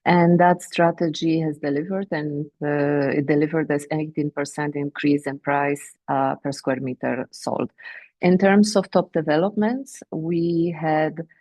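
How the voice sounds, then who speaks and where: A woman with a Romanian accent presenting company sales figures on an earnings call, measured and pausing often with fillers. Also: low voice, fairly even intonation, a little mellow, dry recording. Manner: measured, with frequent filler pauses. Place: remote teleconference.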